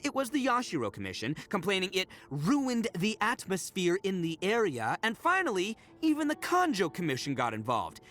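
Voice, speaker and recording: A man's voice: high voice, fairly bright tone, heavily acted. A man voicing a brash, boastful, big-hearted young oni gang boss in a video game, boisterous and slangy in a theatrical way. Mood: indignant. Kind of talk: video game character voice acting.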